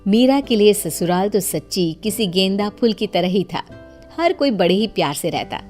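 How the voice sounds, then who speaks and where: A woman narrating a dramatised story in an expressive, conversational way, as a storyteller. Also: medium pitch, moderate pace, faint music behind.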